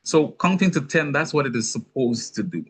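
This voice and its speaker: A man with a Guyanese accent, giving a measured, explanatory teaching talk with touches of gentle humor. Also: medium pitch, big pitch swings, slightly rough. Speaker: speaker on anger management.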